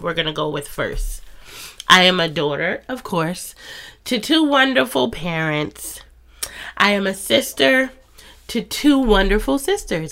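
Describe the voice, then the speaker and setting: A woman's voice: medium pitch, creaky. A woman in her thirties hosting a faith podcast, talking in a chatty, encouraging way while stuffy from a cold. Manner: warm, chatty and encouraging, with soft laughs. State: congested from a cold. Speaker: podcast host. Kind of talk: casual faith podcast monologue.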